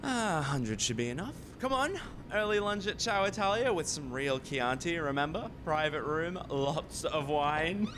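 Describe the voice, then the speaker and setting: An actor playing an excitable, scheming, broke research scientist in a radio farce, incredulous and wheedling. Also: male voice, a little high, moderate pace, noisy background, natural voice. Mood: eager, persuasive.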